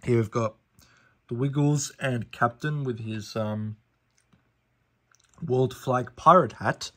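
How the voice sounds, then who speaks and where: A man's voice: mid-range voice, slow pace, bright, forceful tone. A young man casually reviewing a DVD in a small living room, listing facts and correcting himself, recorded on a handheld phone. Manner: fact-listing, self-correcting, chatty with fillers. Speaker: DVD collector reviewing a disc. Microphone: phone camera held over the disc.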